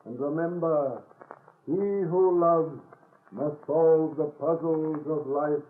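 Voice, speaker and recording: Through a thin 1930s radio recording comes a dead father's ghost. He intones sternly and very slowly, commanding and solemn in the old melodramatic manner. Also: on the high side, narrow, tinny audio. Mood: solemn farewell.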